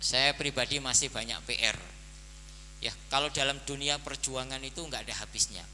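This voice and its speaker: A man in his forties gives a slow, measured sermon to his students. His voice is very bright and carries a long hall reverb. A faint hum sits behind him. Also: medium pitch, fairly even intonation. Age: 40s. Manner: slow, measured preaching with the repeated filler "nah, ini". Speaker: head of an Islamic boarding school. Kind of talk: birthday speech and sermon to students.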